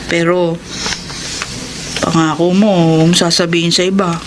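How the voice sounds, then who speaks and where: An actress playing a remorseful former bar hostess in a Filipino radio drama, earnest and sorrowful. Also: female voice, lowish voice, flat delivery, moderate pace, noisy background, close to an everyday voice. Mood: grave confession. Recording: loud music under the voice.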